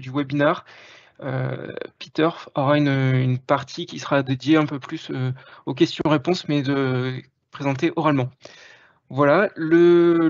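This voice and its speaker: Man, webinar host, hesitant delivery, slightly raised pitch, muffled call audio. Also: no echo. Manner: hesitant, frequent fillers. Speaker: marketing manager hosting a webinar. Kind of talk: webinar introduction. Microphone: video call. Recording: dry, slightly dull online call audio.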